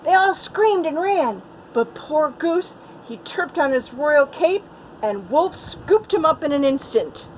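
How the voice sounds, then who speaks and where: A woman teacher telling a picture-book story to young children, expressive, with little asides to her listeners. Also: high voice, slow pace, a little hiss or hum, poor audio.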